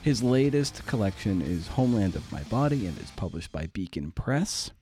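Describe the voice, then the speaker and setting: A man's voice: on the low side, big pitch swings, moderate pace, mellow, soft background music. A man hosting a podcast, chatting casually through an introduction in an irreverent, slangy, self-deprecating way. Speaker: podcast host.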